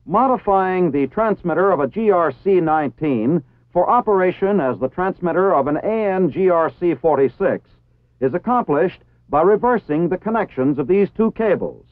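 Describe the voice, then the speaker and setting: A man with an American accent reading formal, scripted instructional narration in a measured, precisely enunciated way. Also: sits high, animated, no echo. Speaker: training film narrator. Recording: tinny phone-like 1960s film soundtrack.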